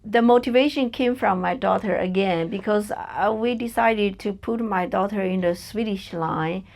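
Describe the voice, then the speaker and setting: A woman's voice: medium pitch, normal speed, creaky, darkish tone. A woman with a Chinese accent, a native Chinese speaker, talking in a personal interview as a podcast guest. She is hesitant and searches for words, with many fillers. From China.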